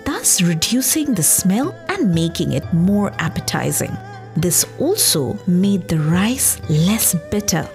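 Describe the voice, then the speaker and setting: A woman narrating a scripted story as a podcast host, in a measured, expressive explanatory way. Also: mid-range voice, vocal fry. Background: background music.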